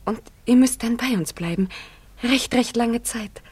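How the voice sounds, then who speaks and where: A woman's voice: fairly high pitch, unhurried, slightly rough, no room sound. An actress in a radio drama playing an earnest, naive young village girl with a hesitant manner. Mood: shy, hopeful. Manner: hesitant, earnest, often hushed. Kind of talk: German radio drama acting.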